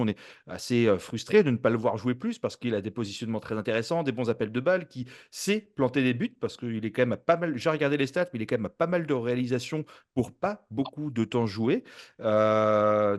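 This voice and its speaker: A man hosting a casual football fan show, halting and wordy, often circling back to rephrase. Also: mid-range voice, a little mellow. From France. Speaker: host of a football fan channel. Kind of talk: casual sports chat.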